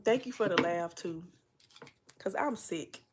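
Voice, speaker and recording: A woman's voice: lowish voice, measured pace, noisy background. A young woman with a stuffy nose from a cold, chatting casually and rambling on a livestream. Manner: chatty, meandering, full of fillers and asides. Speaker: livestream host.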